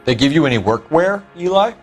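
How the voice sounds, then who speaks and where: An actor playing a composed American 1940s police detective in a video game, asking questions in a clipped, formal way. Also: male voice, fairly high pitch, expressive, fairly quick, soft background music, lightly acted. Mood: accusing. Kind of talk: cinematic video game acting.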